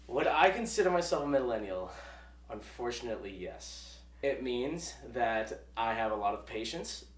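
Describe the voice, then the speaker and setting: A man's voice: medium pitch, animated, moderate pace, reverberant, distant mic. A man in his twenties giving wry, self-deprecating interview answers. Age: 20s. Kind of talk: church video interview.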